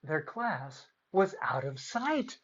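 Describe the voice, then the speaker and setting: A man's voice: a little high, very animated, slow, fairly bright tone, highs cut off. A man presenting and reading aloud for children as a storyteller, playful and theatrical.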